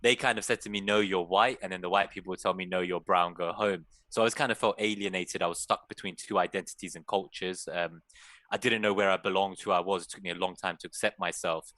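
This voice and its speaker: Deep, bright-toned young man, earnest. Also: fairly even intonation, fast, no echo. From Iran. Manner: earnest, reflective. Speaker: guest panelist. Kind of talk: personal storytelling in panel chat.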